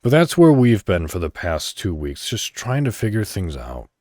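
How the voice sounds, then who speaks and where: A man's voice: on the low side, big pitch swings, normal speed, a little mellow, no echo, clean studio sound. A man hosting a solo podcast in a casual, conversational and somewhat rambling way. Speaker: podcast host. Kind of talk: casual solo podcast talk, reading articles aloud.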